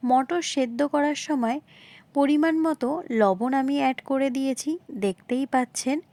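A woman's voice: sits high, moderate pace, darkish tone, dry recording. A woman narrating a home cooking recipe, explaining politely and repetitively and addressing viewers directly. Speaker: home cooking video host. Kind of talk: recipe narration, instructional voice-over.

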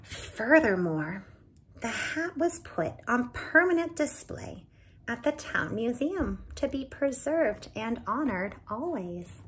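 A woman's voice: on the high side, sing-song, slow, fairly bright tone, poor audio. A woman in her thirties, a teacher reading a picture book aloud to children, adding friendly asides and explaining words, recorded close on a phone camera in a small room at home. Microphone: phone or laptop camera close.

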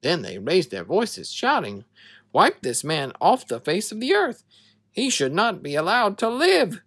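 A man reading a Bible story aloud in an expressive, dramatic storytelling manner. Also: sits high, unhurried, breathy edge, dry recording, clean studio sound.

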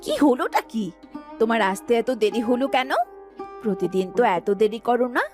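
A woman's voice: on the high side, lively intonation, brisk, over music, lightly acted. A woman voicing a greedy, nagging village housewife in a cartoon, scolding and questioning in an exasperated way. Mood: nosy, questioning. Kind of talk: cartoon voice acting.

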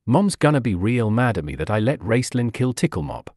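A man's voice: mid-range voice, very animated, brisk, darkish tone, dry recording. Fretful young male kender, curious and whiny, dry close sound; a man voices him.

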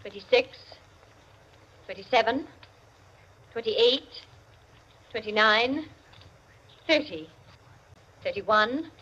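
An actress playing an imperious, determined society hostess in a 1940s radio drama, commanding and measured in manner. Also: female voice, a little high, fairly bright tone, slight background noise. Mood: slow, tense. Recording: muffled vintage 1940s radio recording.

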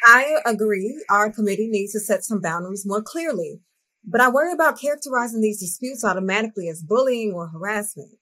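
A middle-aged woman playing a diplomatic but firm school principal in a dramatized meeting, speaking in a measured way on a video call. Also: mid-range voice, lively intonation, bright, forceful tone, husky, poor audio. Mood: firm, reasoning.

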